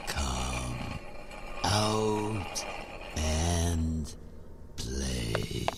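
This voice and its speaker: A man's voice: extremely low pitch, animated, vocal fry, noisy background, full character voice. A man playing a sadistic demonic monster in a horror audio drama, taunting its victims playfully and drawing out its words. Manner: slow, taunting, drawn-out delivery.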